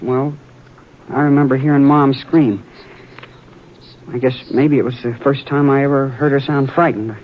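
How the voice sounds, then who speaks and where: A man's voice: medium pitch, fairly even intonation, normal speed, long pauses, a little hiss or hum, lightly acted. An actor playing a troubled, frightened boy on a ranch, pleading and defensive, in a 1950s radio drama. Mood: confessing. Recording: dull old radio broadcast.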